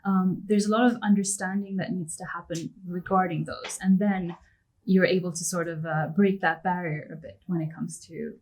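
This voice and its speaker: A young woman from Pakistan speaking on a panel, measured and articulate. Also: lowish voice, fairly even intonation, a little mellow, poor audio. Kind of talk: panel discussion.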